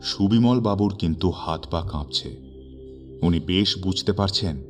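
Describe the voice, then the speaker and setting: A man narrating a horror story in a dramatic, suspenseful way with measured, theatrical phrasing. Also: low-pitched. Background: background music.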